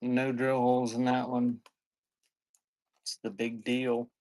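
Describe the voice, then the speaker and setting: A man's voice: mid-range voice, monotone, slow pace, a little mellow, rough recording. A man hosting a live online sale, reading out items and chatting casually in an offhand way. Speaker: online live-sale seller of vintage cassettes. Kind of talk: casual live-stream selling chat.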